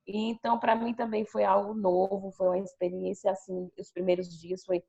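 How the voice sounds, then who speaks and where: A woman's voice: mid-range voice, a little fast, creaky. A woman teacher with a Brazilian Portuguese accent, chatting about her classroom and acting out her pupils' quoted remarks. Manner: chatty, animated retelling with quoted pupil voices. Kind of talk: sharing classroom experience on a video call. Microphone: video call. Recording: dull lo-fi video call audio.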